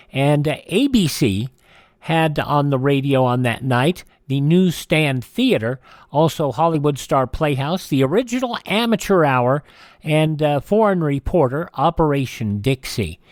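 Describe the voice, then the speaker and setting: A man hosting a classic radio show, presenting broadcast listings in a halting, matter-of-fact way. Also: a little high, no echo, studio quality.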